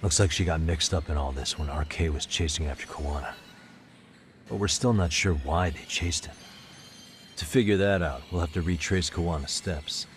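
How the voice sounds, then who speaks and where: A man's voice: deep voice, normal speed, soft background music, lightly acted. A man playing a composed private investigator in an English-dubbed crime game, matter-of-fact and probing. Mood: probing, serious.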